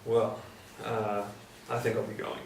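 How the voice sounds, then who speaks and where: A man's voice: on the low side, level tone, measured pace, gravelly. A man playing a blunt but considerate carpenter in a staged play reading. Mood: gentle, awkward. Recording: roomy, distant mic, faint noise.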